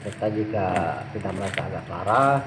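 A man's voice: on the low side, a little fast, background noise. A man narrating an outdoor vlog in a chatty way, addressing viewers as friends. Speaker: bushcraft vlogger.

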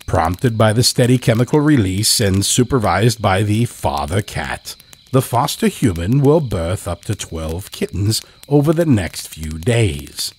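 A man telling a scripted horror story as a podcast host, in a measured, dramatic way. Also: lowish voice, darkish tone.

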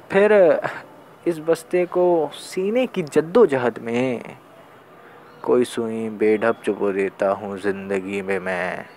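A young man reciting spoken-word poetry in a deliberate, dramatic manner, with weighted pauses and repeated phrases. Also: medium pitch, a little mellow, slight background noise. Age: young adult. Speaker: student poet.

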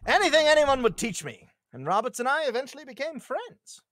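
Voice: high voice, very animated, a little fast, a bit bright, no room sound, studio quality. Playful table-read performer with swooping delivery. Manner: playful, breaking into laughter and ad-libs. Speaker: table-read performer reading a swashbuckling hero's lines. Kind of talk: casual live table read of a comedy script, reading and joking. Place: remote group video call.

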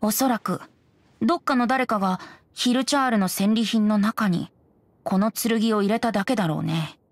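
A man's voice: high voice, moderate pace. A man playing a youthful, boyish male bard in an anime game, with a light voice and a breezy, self-assured way of explaining. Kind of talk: Japanese anime game acting. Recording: game music behind.

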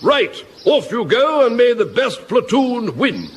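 An actor playing a clipped, commanding army colonel in a radio sitcom. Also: male voice, extremely high pitch, very animated, a little slow, husky, exaggerated voice. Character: crisp, authoritative male army colonel.

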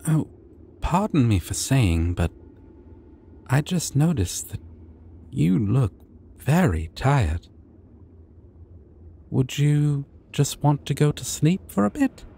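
A man voicing a shy, sweet, gentle dragon in an ASMR roleplay, speaking in a hesitant, tender and soothing way. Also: medium pitch, sing-song, slow, gravelly, long pauses, breathy edge, heavily acted. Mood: apologetic, hesitant. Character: shy, sweet, gentle young male dragon, eager to please.

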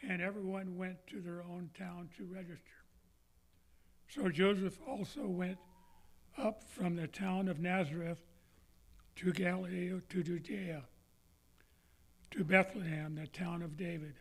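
Hoarse older man reading scripture slowly. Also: sits high, fairly even intonation. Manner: measured, careful reading with throat clearing. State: hoarse from laryngitis. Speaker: scripture reader. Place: church sanctuary, indoors. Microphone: lectern microphone on a stand.

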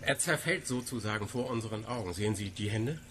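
A man's voice: fairly low pitch, lively intonation, brisk, lightly acted. An actor playing a flustered, brusque male scientist in a German radio drama, agitated and curt. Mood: appalled.

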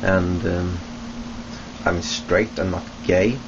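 A young man from Sweden with a Swedish accent, introducing himself hesitantly to his webcam in a small room at home, with many pauses and restarts. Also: low-pitched, steady tone. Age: young adult. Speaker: vlogger. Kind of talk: casual self-introduction to webcam. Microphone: webcam at close range. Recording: dull lo-fi webcam audio with hiss.

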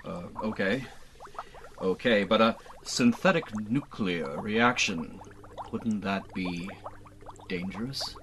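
A dim but agreeable young college jock in a radio play, acted by a man. He talks low and casually with sheepish hesitation. Clear background noise sits behind him. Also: slow pace. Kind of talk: audio drama acting.

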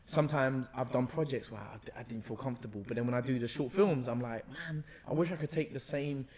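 A young man with a London accent and a mid-range voice. He talks casually into a close podcast mic, telling stories in slangy, expressive phrases. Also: medium pitch, quick pace. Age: young adult. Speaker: actor, podcast guest. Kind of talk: casual conversational storytelling. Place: bright indoor room, seated on a sofa. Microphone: podcast microphone on a boom arm, close.

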